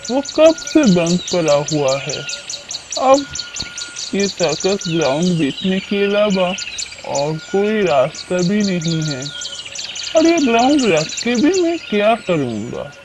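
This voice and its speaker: A woman voicing a gentle, worried male circus owner in a plaintive, brooding children's cartoon style. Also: fairly low pitch, big pitch swings, takes it slowly, background noise, voice pushed down. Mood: resigned. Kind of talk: children's moral cartoon dialogue. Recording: loud birdsong and ambience behind.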